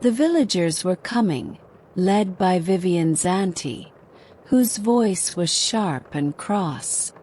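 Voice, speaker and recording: Woman folktale narrator: slow, dramatic, scripted storytelling with noticeable background ambience. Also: lowish voice, animated, darkish tone, a little hiss or hum.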